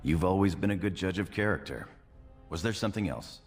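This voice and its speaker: An actor playing a loyal, earnest dwarf warrior in a fantasy game, speaking formally and deferentially. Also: male voice, sits low, expressive, fairly quick, soft background music. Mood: earnest, respectful.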